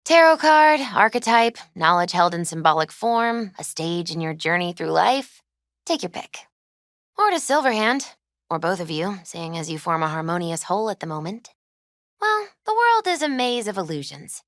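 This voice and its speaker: A woman playing a gentle, earnest young tarot reader in a video game, with thoughtful, hesitant phrasing. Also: on the low side, big pitch swings, moderate pace, no echo, studio quality. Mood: mystical, explanatory. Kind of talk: naturalistic video game dialogue acting.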